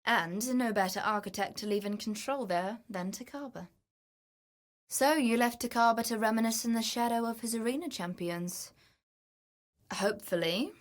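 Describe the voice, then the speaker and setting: A sardonic fantasy-game adventuress, acted by a woman, speaks at a slow, deliberate pace in a mid-range voice. She is a treasure hunter with an eye for riches, dry and teasing, talking as if to a companion on the expedition. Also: medium pitch, close to an everyday voice. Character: wry, greedy female treasure-hunting explorer in a fantasy game. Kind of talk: video game character voice lines.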